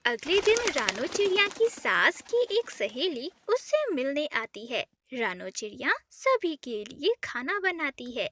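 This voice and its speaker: A woman narrating a cartoon story with expressive storytelling. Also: sits high, measured pace, hardly any pauses, slight background noise.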